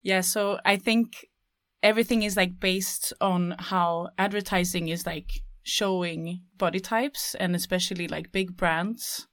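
A woman's voice: lowish voice, steady tone, unhurried, fairly bright tone, dry recording, studio quality. A young woman from Sweden, a textile design student, chatting casually and hesitantly, with many fillers. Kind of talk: casual chat.

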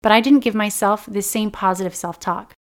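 Earnest, slightly raspy woman podcaster. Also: mid-range voice, steady tone, a little fast. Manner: earnest, gentle and encouraging. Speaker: podcast host. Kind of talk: personal self-help podcast monologue.

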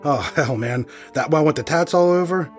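An actor playing a laid-back, crude guitarist who talks in excitable slang. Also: male voice, a little high, normal speed, faint music behind, close to an everyday voice. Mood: excited.

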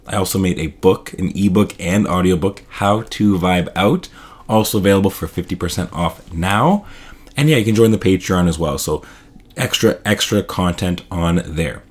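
A man hosting his own podcast in a casual, upbeat solo talk, his voice slightly hoarse. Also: deep voice, a little mellow. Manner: casual, upbeat, loose filler-heavy delivery. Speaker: podcast host.

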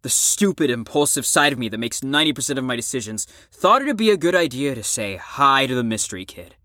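A young man acting a troubled, self-loathing teenage ex-addict in an emotional audio drama monologue, halting and profane. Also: mid-range voice, animated, sharp tone, dry recording, studio quality. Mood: reflective, halting. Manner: emotional, halting, profane, swings between bitter and impassioned. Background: music under the voice.

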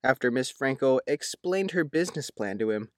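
A man's voice: medium pitch, animated, normal speed, no room sound, clean studio sound. A man reading a written local news feature in a scripted narration style.

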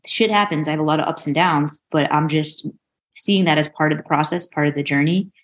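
A woman's voice: deep voice, brisk. A forty-year-old woman, a coach being interviewed, chatting frankly and informally. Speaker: mindset coach, interview guest. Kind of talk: casual interview chat.

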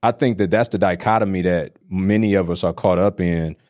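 A man's voice: deep voice, brisk, dry recording, clean studio sound. A man from Detroit, a real estate investor, talking casually as a podcast guest and often checking in with "right?". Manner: relaxed, conversational, repeats "right?". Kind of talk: casual interview conversation.

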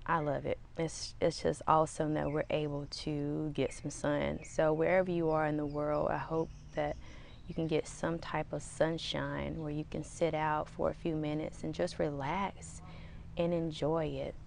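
Slightly raspy woman podcasting outdoors. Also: low-pitched, unhurried, a little hiss or hum. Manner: relaxed, rambling, chatty with fillers. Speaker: podcast host. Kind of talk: casual solo podcast talk. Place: outdoors in the sun.

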